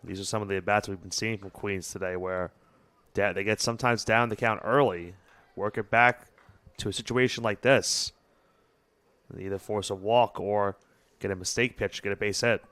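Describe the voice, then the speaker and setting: Young guy doing color commentary on baseball, smooth clear voice, kinda low. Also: steady tone, moderate pace, fairly bright tone, no room sound. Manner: analytical, explains the game situation.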